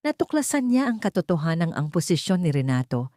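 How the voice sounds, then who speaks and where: Female narrator for dramatic fiction audio story, lower register, very dry recording. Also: big pitch swings, a little fast, warm tone, dry recording.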